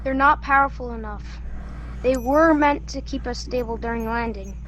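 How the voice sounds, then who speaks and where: A woman's voice: a little high, moderate pace, fairly bright tone, a little hiss or hum, lightly acted. A woman playing a blunt, commanding young colonist on a starship in a sci-fi radio play, with clipped delivery. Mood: blunt, grim. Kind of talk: science fiction radio play acting.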